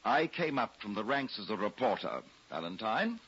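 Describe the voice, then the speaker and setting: A pompous newspaper critic in a 1940s radio drama. He speaks in florid, condescending phrases with swooping, animated pitch and a forceful bright edge. The sound is a dull old broadcast. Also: normal speed, breathy edge, heavily acted. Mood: self-righteous. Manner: florid, condescending, theatrical delivery.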